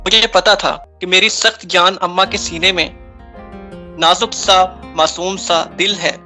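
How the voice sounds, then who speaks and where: Man acting high, bright-voiced earnest young lover. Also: fairly even intonation, a little slow, faint music behind, full character voice. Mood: emotional. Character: earnest young man in love, defying his mother. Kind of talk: romantic drama acting.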